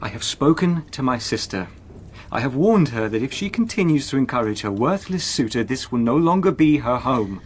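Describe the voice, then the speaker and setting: An actor playing a stern, formal gentleman husband in a period radio drama, restrained but indignant. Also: mid-range voice, very animated, moderate pace, a little hiss or hum, natural voice. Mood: controlled, reproachful.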